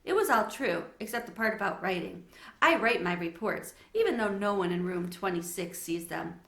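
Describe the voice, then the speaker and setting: A woman reads a children's story aloud in an expressive, teacherly way, turning to address her listeners. Her voice is bright and forward, and the untreated room adds clear echo. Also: mid-range voice, moderate pace.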